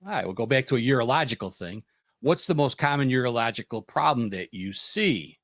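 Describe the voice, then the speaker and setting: Easygoing male host, lively voice. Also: mid-range voice, a little fast, no room sound, clean studio sound. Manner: easygoing, asks questions and gives short affirmations. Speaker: host, moderator. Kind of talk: casual panel chat.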